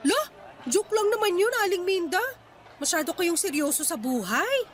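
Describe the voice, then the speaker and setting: A woman playing a feisty young market fish vendor in a Tagalog radio drama, persuasive and quick to talk back. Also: very high-pitched, animated. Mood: indignant.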